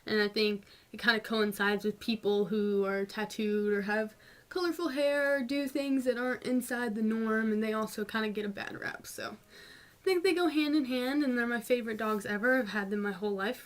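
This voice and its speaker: A woman's voice: a little high, moderate pace. A woman in her twenties with a North Georgia mountain Southern twang, chatting casually and answering viewer questions in a vlog. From North Georgia, USA. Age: 20s. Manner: chatty, rambling, frequent ums and little laughs. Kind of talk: casual chat.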